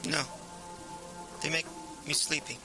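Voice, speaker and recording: An actor plays a withdrawn male patient in a radio drama. His voice sits a little high for a man, subdued, with music clearly behind it. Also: steady tone, measured pace. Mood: flat, dreamy. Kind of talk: audio drama acting.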